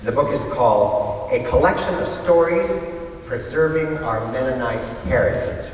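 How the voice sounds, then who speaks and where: A man presenting and telling stories on stage in a conversational but scripted, deliberate manner. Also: mid-range voice. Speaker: readers theater presenter and storyteller. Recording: echoey hall from far off, faint noise.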